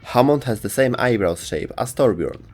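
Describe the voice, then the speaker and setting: A man's voice: mid-range voice, expressive, normal speed, darkish tone, soft background music. A man hosting and narrating a scripted gaming lore video.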